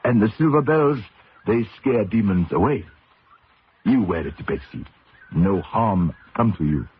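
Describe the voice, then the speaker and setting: An actor playing an old, superstitious Cajun race mechanic in a radio drama, solemn, with clipped broken grammar and a Cajun accent. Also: male voice, fairly low pitch, lively intonation, unhurried, breathy edge, full character voice. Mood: solemn, protective. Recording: noisy, tinny old radio broadcast.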